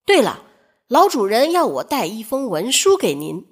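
A woman's voice: a little high, wildly swooping pitch, normal speed, breathy edge, heavily acted. A woman voicing a sly male carpenter in a fairy tale, with showy, feigned sincerity. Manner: loud calls, feigned sincerity. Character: sly, plump male carpenter, falsely cheerful.

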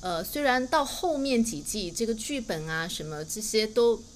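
A woman's voice: fairly high pitch, expressive, normal speed. A woman whose native language is Mandarin, explaining phrases as the host of a language lesson. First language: Mandarin Chinese. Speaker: English teacher, host. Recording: faint background noise.

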